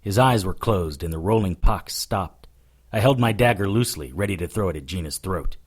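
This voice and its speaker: A man's voice: deep voice, animated, moderate pace, husky. A man playing a determined, hard-boiled witch hunter, narrating an audio drama in the first person. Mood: tense, narrating. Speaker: lead character and narrator.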